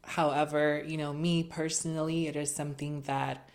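A young woman as an interview guest, talking in an earnest, measured way with many fillers. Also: sits low, little pitch movement. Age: young adult. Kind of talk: casual interview chat.

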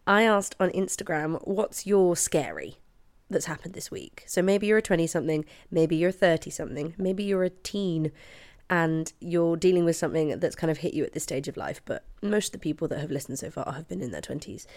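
A woman in her twenties hosts her podcast from her flat late at night, her voice hoarse from a cold. She talks in a chatty, apologetic way, and her lowish voice has a gravelly edge to it. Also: fairly quick. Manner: chatty and apologetic, with frequent laughs. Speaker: podcast host. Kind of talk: casual solo chat. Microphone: headphones and podcast mic.

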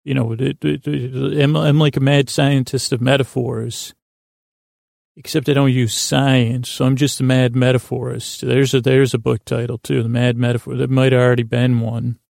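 Creaky-voiced man rambling haltingly. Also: medium pitch, little pitch movement, a little mellow, dry recording, clean studio sound. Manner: halting, digressive, with long pauses and repeated words; silly, absent-minded. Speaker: podcast host. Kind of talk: rambling whimsical monologue meant to distract listeners.

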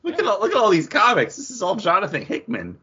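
A man's voice: high-pitched, huge pitch swings, fast, a crowd in the background. A man chatting casually about comics as a podcast co-host. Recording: dull lo-fi podcast audio.

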